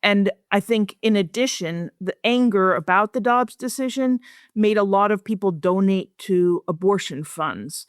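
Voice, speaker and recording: A woman's voice: medium pitch, unhurried, no echo, clean studio sound. A woman speaking as an expert guest, explaining things in articulate, plain-spoken interview answers. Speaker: expert guest, professor and researcher.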